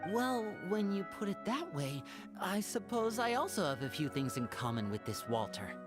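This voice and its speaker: A voice actor playing a curious young performer who muses in a reflective way, in a video game. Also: female voice, mid-range voice, big pitch swings, normal speed, music in the background, natural voice. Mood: musing.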